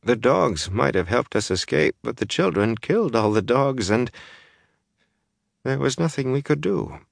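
A dazed, grieving traveller woman played by a male narrator in a fantasy audiobook. The pitch is lifted above his own and the words come out mumbled and numb, recorded dry. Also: expressive, a little slow, creaky, no echo.

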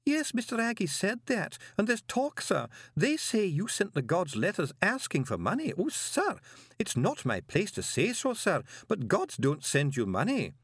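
Prim grey-haired woman for a storybook male reader, high-pitched, sobbing, melodramatic, with wildly swooping delivery. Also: wildly swooping pitch, normal speed, fairly bright tone, husky, no echo, clean studio sound, exaggerated voice. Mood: tearful, deferential. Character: wailing, prim grey-haired older woman, tearful and dramatic.

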